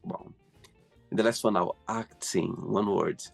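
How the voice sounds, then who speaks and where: A man with a Brazilian accent hosts a relaxed interview, his voice gravelly and a little husky. He gushes with enthusiasm and pads his sentences with "you know." Faint music plays underneath. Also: on the low side, unhurried. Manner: effusive, many "you know" fillers. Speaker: host. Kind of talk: casual interview.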